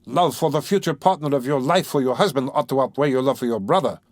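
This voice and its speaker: A man's voice: medium pitch, expressive, a little fast, no room sound, clean studio sound, pitched above the performer's own voice, close to an everyday voice. A man reading aloud, playing a pompous, touchy suitor who speaks stiffly and formally. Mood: pompous huffy. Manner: huffy, sententious, stiffly formal. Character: pompous, touchy middle-aged male suitor.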